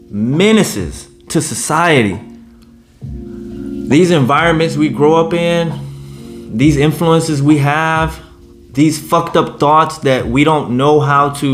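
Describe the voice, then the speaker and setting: A man in his late thirties giving blunt, profane, indignant reaction commentary. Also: on the high side. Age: 30s. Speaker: commentary vlogger.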